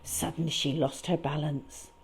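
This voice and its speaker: A woman reading a picture book aloud as a children's storyteller, with dramatic, deliberate delivery and emphatic stresses. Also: low voice, level tone, husky, poor audio.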